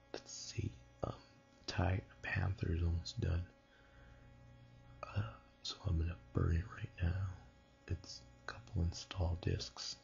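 A man whispering a casual late-night tech vlog in a halting way, as if thinking aloud. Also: low-pitched, vocal fry, darkish tone, highs cut off. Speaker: amateur tech vlogger.

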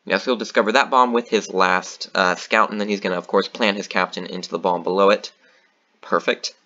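A man's voice: sits low, fairly quick, muffled recording, a little hiss or hum. A man giving casual commentary on his own board game match, thinking aloud with lots of ums and uhs. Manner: thinking aloud, relaxed, many fillers.

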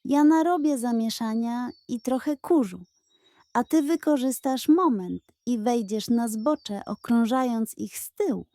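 A woman's voice: on the high side, unhurried, mellow, dry recording, lightly acted. A woman voicing a brave male bison sheriff in a children's western story, decisive and commanding. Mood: resolute.

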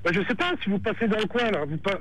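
A man's voice: sits high, fast, a bit bright. A man in his forties chatting casually on the phone. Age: 40s. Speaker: phone-in call target. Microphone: telephone. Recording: phone call with faint noise.

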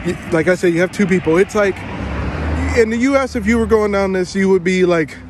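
A young man telling a travel story to his phone camera outdoors, animated and playing it up for effect, with plenty of fillers. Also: high-pitched, fairly quick, noisy background. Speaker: travel vlogger. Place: outdoors among trees.

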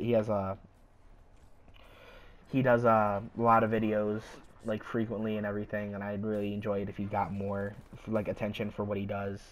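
A young man with a sore, scratchy throat, rambling casually with lots of fillers while he plays a game. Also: medium pitch. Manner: rambling, hesitant, filler-heavy. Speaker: gaming YouTuber. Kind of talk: casual gameplay commentary.

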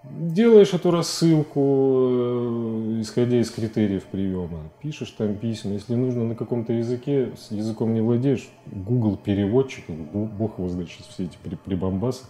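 A man in his forties talking casually as a studio interview guest, colloquial and halting as he thinks aloud. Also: mid-range voice, mellow. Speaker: interview guest, animation filmmaker. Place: TV studio, indoors.

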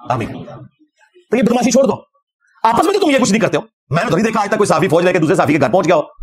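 A man in his forties with a Pakistani Punjabi accent and a husky voice, giving a blunt, taunting, combative religious lecture. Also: high-pitched. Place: indoor lecture set.

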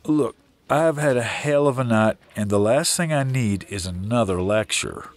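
An actor playing a weary, skeptical sheriff in an audio drama. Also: male voice, mid-range voice, expressive, normal speed, natural voice. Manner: weary, sceptical. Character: weary, skeptical small-town American sheriff.

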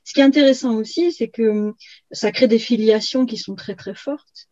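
A middle-aged woman speaking haltingly in an academic discussion, repeating words as she searches for phrasing. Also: fairly high pitch, a little mellow, no room sound. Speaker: historian and book co-author being interviewed. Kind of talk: academic discussion on a video call. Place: indoors on a video call, virtual background. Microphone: computer video call. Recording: slightly muffled video-call audio.

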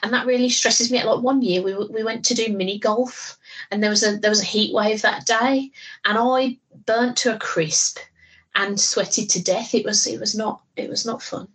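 A woman's voice: on the high side, steady tone, moderate pace, fairly bright tone, slightly rough, poor audio. A woman co-hosting a casual podcast, nasal from a cold, chatty and giggly, swearing freely. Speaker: podcast co-host. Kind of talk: casual chat.

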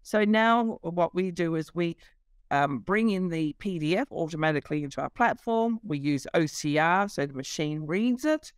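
A woman's voice: fairly low pitch, expressive, normal speed, clear, resonant tone, dry recording, studio quality. A middle-aged woman, a company CEO, explaining her business over a video call, fluent but with many fillers. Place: office.

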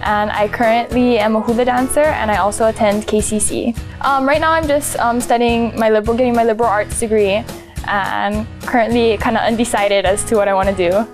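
A 22-year-old woman from Hawaii introducing herself in a relaxed, hesitant interview. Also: fairly high pitch, fairly quick. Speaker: contestant. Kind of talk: casual interview. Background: music.

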